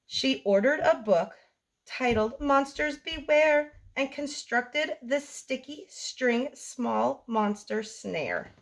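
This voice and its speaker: A woman reading a picture book aloud to young children as a storytime host, in an expressive sing-song delivery. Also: sits high, slow pace, vocal fry, rough recording.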